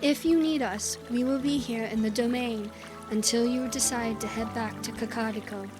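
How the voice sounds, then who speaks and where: A woman's voice: fairly high pitch, fairly even intonation, normal speed, over music, close to an everyday voice. A woman playing a playful but respectful ally of a princess in an audio drama.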